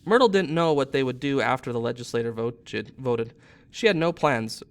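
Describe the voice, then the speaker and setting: A man in his thirties reads a story aloud as a teacher would. His narration is expressive and sits at a medium pitch. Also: moderate pace.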